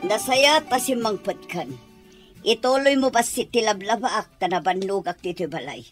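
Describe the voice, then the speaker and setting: A woman's voice: mid-range voice, normal speed, faint music behind, close to an everyday voice. A woman playing a bossy, scolding middle-aged mother-in-law in an Ilocano radio drama, with an Ilocano accent. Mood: demanding, dismissive. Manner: demanding, scolding.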